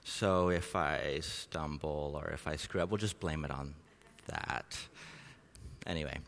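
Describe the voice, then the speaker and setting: Man, middle-aged, low-pitched, conversational sermon, informal storytelling, long reverb. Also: unhurried, creaky, a bit bright. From Norway and Southeast Asia. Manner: informal, chatty. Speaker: missionary preaching a sermon.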